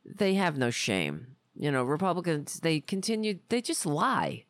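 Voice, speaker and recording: A woman's voice: low voice, wildly swooping pitch, measured pace, no echo. A woman hosting a political podcast in a rambling, indignant, sarcastic way, saying she is losing her voice. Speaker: podcast host. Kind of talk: casual political commentary.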